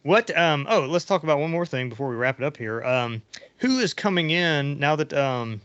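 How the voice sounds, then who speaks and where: A man's voice: on the high side, animated, normal speed, a bit bright, clear, resonant tone, dry recording. Rambling man in dull, lo-fi audio. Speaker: podcast host. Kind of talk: casual sports chat interview.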